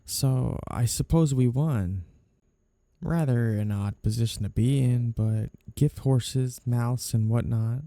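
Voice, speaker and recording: A man playing a flirty, two-faced, smug mafia boss in a scripted roleplay audio drama, teasing and drawing out his phrases theatrically in a gravelly voice. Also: medium pitch, slow pace, warm tone, no echo. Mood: smug, amused.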